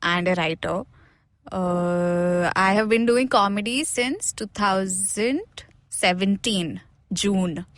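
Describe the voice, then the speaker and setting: A woman's voice: medium pitch, slow pace, no room sound. A woman with an Indian accent talking casually as a comedian guest, in a slangy, joking, self-mocking manner. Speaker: guest, stand-up comedian and writer. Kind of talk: casual podcast chat.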